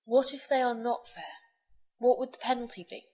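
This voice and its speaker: Woman doing an earnest young squire, polite, full of questions, high voice. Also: a little fast, a little mellow, close to an everyday voice. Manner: polite, inquisitive.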